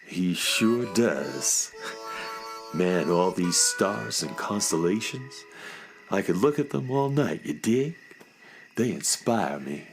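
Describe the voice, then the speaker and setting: A man's voice: deep voice, expressive, takes it slowly, gravelly, faint music behind, full character voice. An actor playing a laid-back, poetic beatnik cat father in a children's radio drama, with languid hip slang.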